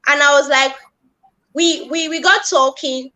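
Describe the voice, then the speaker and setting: A woman hosts a webinar over a video call and welcomes her guest with effusive praise. Her voice sits high and rings bright and sharp. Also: steady tone, measured pace, low-quality recording. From Nigeria. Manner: gushing, effusive, laughs often. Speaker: webinar host, nurse. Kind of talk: hosting a webinar.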